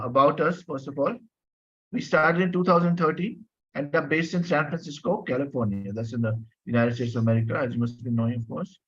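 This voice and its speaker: A man's voice: mid-range voice, fairly bright tone. A man teaching a French class online, patiently explaining phrases, repeating himself and asking students questions. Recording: dull lo-fi audio.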